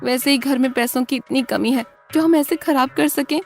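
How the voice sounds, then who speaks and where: Woman acting a high-pitched, fretful village wife. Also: moderate pace, vocal fry, a little mellow, soft background music. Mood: fretful complaining. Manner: fretful, exclaiming questions. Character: anxious, quick-tempered village wife, easily offended.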